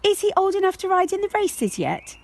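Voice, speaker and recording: A woman plays an eager little girl from a children's storybook. The voice is pitched high and swings about with excitable, pleading questions. She longs to be a jockey. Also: fairly quick, full character voice. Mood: eager, impatient.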